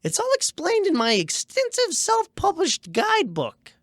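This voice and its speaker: Woman acting glib young huckster, swooping high pitch. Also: huge pitch swings, unhurried, dry recording, clean studio sound, heavily acted. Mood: eager, confident. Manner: glib, wheedling sales patter. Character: fast-talking young scheming huckster pitching dubious deals. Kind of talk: scripted radio comedy sketch.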